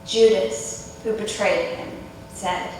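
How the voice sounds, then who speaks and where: A woman's voice: fairly even intonation, slow pace. A woman narrating scripture as part of a dramatic reading in a church. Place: church during a service. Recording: echoey church hall, distant mic, rumbly noise.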